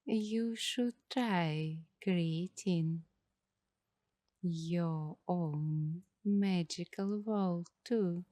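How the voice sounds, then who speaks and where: A woman speaking in a soft, hushed, partly whispered voice as an ASMR host, giving meditative narration in short phrases with long pauses. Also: lowish voice, dry recording.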